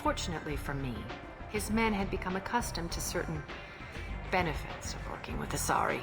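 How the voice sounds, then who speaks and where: A woman's voice: lowish voice, expressive, fairly quick, gravelly, over music. A woman playing an imperious, smug alien crime boss in a video game, speaking with cool, haughty deliberation. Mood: proud, smug. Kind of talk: video game character acting.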